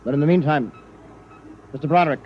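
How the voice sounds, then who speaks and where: A dulled old broadcast with faint noise frames a man acting a starship captain. His voice sits slightly high, firm and commanding, in the style of 1950s radio drama. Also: fast talker, highs cut off, lightly acted. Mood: firm, measured.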